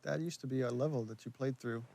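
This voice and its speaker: A man's voice: mid-range voice, fairly even intonation, fast, gravelly, mellow, slight background noise. A man giving casual, explanatory commentary as a game's writer and director. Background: game cut-scene sound.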